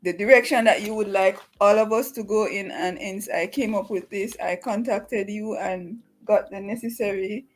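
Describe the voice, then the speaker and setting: A woman's voice: medium pitch, fairly even intonation, normal speed, few pauses. A woman hosting a livestream, welcoming a guest and making announcements to viewers. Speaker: livestream host.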